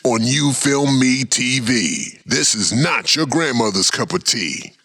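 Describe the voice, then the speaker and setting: A man doing a slow, drawn-out intro as the podcast's announcer. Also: mid-range voice, pushed and bright, a little hiss or hum. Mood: announcing. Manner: drawn-out announcer delivery over music. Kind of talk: slow scripted show intro.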